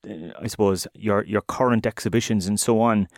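A man's voice: lowish voice, a little fast, creaky, no room sound, clean studio sound. A man hosting a podcast, asking friendly, curious questions and backing the guest up with short replies. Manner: friendly, inquisitive, short backchannels. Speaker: podcast host. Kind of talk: podcast interview.

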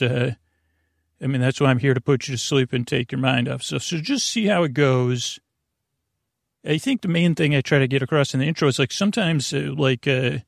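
Creaky, meandering man hosting a bedtime podcast. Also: a little high, dry recording, clean studio sound. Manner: meandering, halting, self-interrupting, whimsical. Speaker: podcast host. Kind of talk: rambling bedtime podcast intro, casual monologue.

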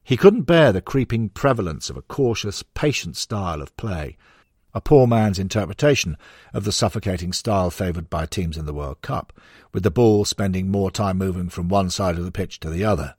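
Very deep-voiced man reading an audiobook. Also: animated, moderate pace, darkish tone, husky, no room sound, studio quality. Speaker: narrator reading a non-fiction book. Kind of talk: scripted audiobook narration of sports history.

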